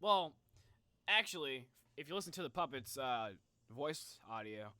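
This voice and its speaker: A streamer reacting live in casual, slangy, excitable commentary. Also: medium pitch, a little slow.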